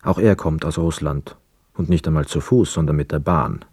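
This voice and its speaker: A man playing a brooding, detached young war returnee, narrating a literary radio play in a measured, sober way. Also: rumbling low, a little mellow, breathy edge, no echo, clean studio sound, heavily acted. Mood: sober, reflective.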